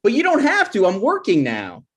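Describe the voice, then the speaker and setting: A man's voice: sits high, wildly swooping pitch, moderate pace, slightly rough, heavily acted. A man playing an awkward, defensive young grad student with hesitant, rambling delivery in a comedy table read. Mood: eager.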